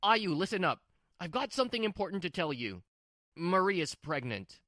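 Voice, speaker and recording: A woman's voice: fairly low pitch, big pitch swings, normal speed, fairly bright tone, dry recording, studio quality. A woman voicing a stubborn, brusque husband who dotes on his little sister, in a scripted manga dub. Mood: insistent. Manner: brusque, defensive.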